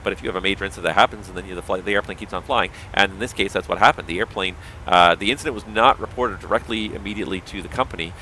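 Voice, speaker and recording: Fast-talking low-voiced man with a tiring voice. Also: pushed and bright, slight background noise, poor audio. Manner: explanatory, opinionated, talks in long running thoughts. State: strained, tiring voice. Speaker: livestreamer and pilot. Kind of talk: casual livestream commentary.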